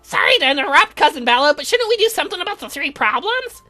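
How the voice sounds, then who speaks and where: A perky young girl from a horror game, acted out by a woman. The voice is fairly high and lively, chatty and playful, with music underneath. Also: quick pace, full character voice. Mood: urgent, chatty. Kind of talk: performed visual novel reading.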